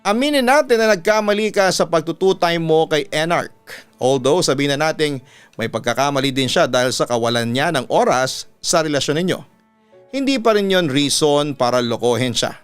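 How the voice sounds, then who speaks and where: A man's voice: fairly high pitch, lively intonation, normal speed, clean tone, soft background music. A man who hosts as a radio announcer, reading a listener's letter aloud in an earnest, dramatic way, then giving advice.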